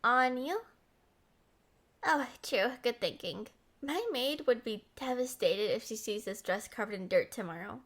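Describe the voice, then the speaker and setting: A young woman acting a playful, headstrong, lovestruck princess in an expressive roleplay audio. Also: fairly high pitch, unhurried. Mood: relieved, fond. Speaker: voice actor.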